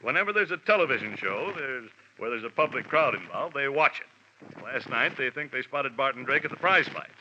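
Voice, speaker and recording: A brisk, matter-of-fact official with a sharp, piercing bright tone in a vintage radio play. The audio is dull and lo-fi like an old broadcast. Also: male voice, medium pitch, expressive, slight background noise. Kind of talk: 1950s radio drama acting.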